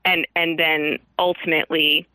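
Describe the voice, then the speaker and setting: A woman's voice: deep voice, little pitch movement, moderate pace, sharp tone, no echo, low-quality recording. A woman speaking as a guest author in an interview, explaining earnestly in digressive sentences with formal vocabulary.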